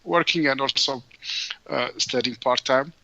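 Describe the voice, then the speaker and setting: A man from Ethiopia, a guest answering interview questions, speaking haltingly with many fillers and restarts. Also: mid-range voice, gravelly, bright, forceful tone, dry recording, dull audio. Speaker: guest entrepreneur.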